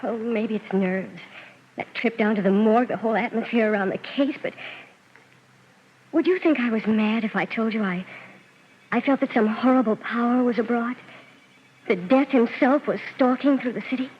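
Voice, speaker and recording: Actress as anxious young woman, earnest, halting, rather high voice, old radio melodrama, muffled faintly noisy recording. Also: natural voice. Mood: nervous. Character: anxious, insistent young woman seeking a murderer. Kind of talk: old-time radio drama acting.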